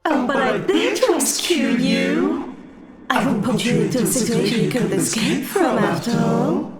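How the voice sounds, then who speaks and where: A woman's voice: fairly high pitch, lively intonation, a little slow, over loud music. A woman playing a smug, teasing fae trickster who feigns kindness in a tabletop game.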